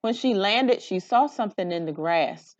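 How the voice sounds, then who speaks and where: A woman storyteller narrates a children's picture book with expression. Her pitch is middling. The recording is dry and a little dull. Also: lively intonation, moderate pace, dry recording. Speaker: read-aloud storyteller.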